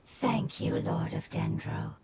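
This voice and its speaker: A woman's voice: low-pitched, slow pace, gravelly, very noisy, heavily acted. A woman voicing a solemn, grateful elemental spirit in an anime game, speaking formally. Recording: voice under loud game music.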